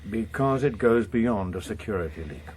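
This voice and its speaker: An actor playing a suave, unflappable British veteran politician in a radio drama, speaking with smooth, faintly condescending assurance. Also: male voice, lowish voice, animated, normal speed, a little mellow, a little hiss or hum, natural voice. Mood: grave, discreet.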